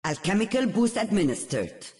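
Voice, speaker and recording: A wise, stern old female alchemist in a video game. Her voice sits at a middle pitch with wide, expressive inflection. She is measured, cool and commanding. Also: breathy edge, dry recording, studio quality. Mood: firm, watchful.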